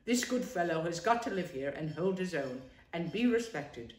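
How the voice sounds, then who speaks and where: A woman's voice: lowish voice, lively intonation, moderate pace, a little mellow, echoey room, lightly acted, low-quality recording. A woman doing a gloomy old badger. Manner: gloomy, plain-spoken.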